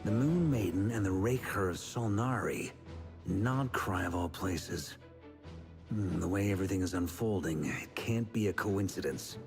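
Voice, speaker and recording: A man playing a seasoned, easygoing middle-aged knight commander in a video game, speaking in a measured, reassuring, thoughtful way. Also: low voice, over music. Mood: pondering, resolute.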